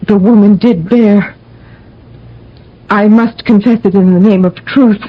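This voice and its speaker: A woman's voice: mid-range voice, fairly even intonation, measured pace, lightly acted. A woman acting as a solemn, grieving older mother in an old-time radio drama, formal and portentous. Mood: solemn, troubled. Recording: dull old radio recording.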